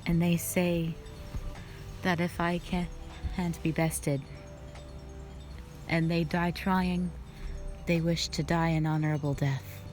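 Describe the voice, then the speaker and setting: A woman playing a stern, calm, duty-bound dragon guardian, speaking formally and solemnly in a scripted roleplay audio drama. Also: fairly low pitch, faint music behind. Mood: solemn, explaining. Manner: measured, solemn, formal phrasing.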